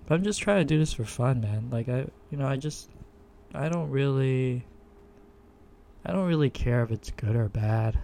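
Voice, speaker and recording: Slow, hesitant man with a low monotone voice. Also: creaky, slight background noise. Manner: hesitant, many fillers and restarts. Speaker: podcast host. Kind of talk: casual self-introduction monologue. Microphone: desktop USB microphone.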